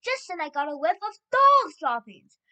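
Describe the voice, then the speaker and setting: A woman voicing an excited tall witch from a storybook, with shrieking, frantic exclamations. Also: extremely high pitch, normal speed, pitched above the performer's own voice, full character voice.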